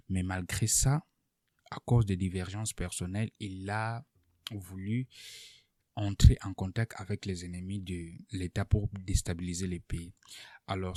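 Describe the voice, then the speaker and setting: Male commentator, low voice, soft dark timbre, dry close sound, deliberate explanatory delivery. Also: no echo. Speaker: political commentator presenting an online video. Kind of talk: unscripted political analysis to camera.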